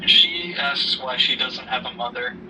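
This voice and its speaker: A man playing a grieving widowed father who gently counsels his daughter in a halting, measured way in an amateur audio drama. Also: natural voice. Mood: grieving, subdued. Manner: halting, subdued, measured delivery. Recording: noisy rumbling background, distant mic.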